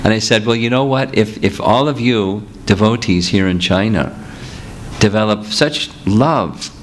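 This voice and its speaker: A low-pitched man teaching a seminar, speaking patiently and working through examples as he answers questions from the audience. His voice comes through a handheld microphone in a meeting room. A faint hiss of room noise sits under the talk. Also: a little slow. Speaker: spiritual teacher.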